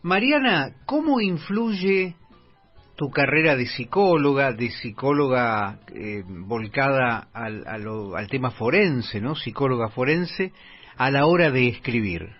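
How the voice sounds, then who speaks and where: A man's voice: mid-range voice, animated, slow, soft background music. A man hosting a radio interview, introducing the guest and asking questions in a formal way. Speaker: radio host. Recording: dull lo-fi radio audio.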